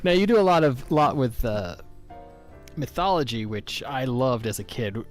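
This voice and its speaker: A man's voice: mid-range voice, lively intonation, moderate pace, soft background music. A man hosting a podcast in a casual interview style. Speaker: podcast host.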